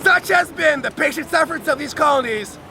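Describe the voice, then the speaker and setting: A man giving a loud, dramatic, emphatic reading of a historical document outdoors, with deliberate phrasing. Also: very high-pitched, lively intonation, gravelly. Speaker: reader at an outdoor public reading. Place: outdoors on a lakeside beach. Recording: outdoor crowd and motorboat noise.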